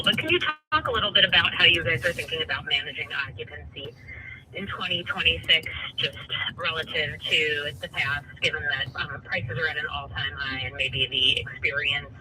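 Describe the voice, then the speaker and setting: A man's voice: fairly high pitch, bright, forceful tone, a little hiss or hum, rough recording. A man speaking as a financial analyst, asking questions on an earnings call.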